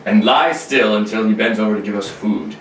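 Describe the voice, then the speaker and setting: A man's voice: lowish voice, fairly quick, lightly acted. A man reading the part of a heroic young male space adventurer in a hammy table read of an old radio serial, with an earnest, square-jawed delivery. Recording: roomy, distant, noisy mic.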